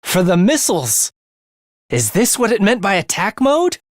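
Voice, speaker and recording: A man's voice: high-pitched, huge pitch swings, moderate pace, no room sound, studio quality. A man voicing a morbid, bloodthirsty young male student scientist in an English video game, speaking theatrically with a sinister relish for the macabre. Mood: measured, wary.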